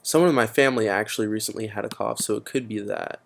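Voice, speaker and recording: A man's voice: lowish voice, quick pace, creaky. A teenage boy presenting a casual computer tutorial, explaining steps with frequent fillers. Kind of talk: screen-recorded computer tutorial.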